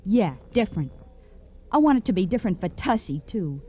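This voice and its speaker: A woman's voice: medium pitch, sing-song, a little fast, mellow, breathy edge. An actress playing a brash, wisecracking reformed shoplifter turned salesgirl, in an old radio crime drama. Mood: wistful, confiding. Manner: slangy, wry, breezy. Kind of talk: 1940s-50s radio crime drama acting. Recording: vintage radio recording with noise.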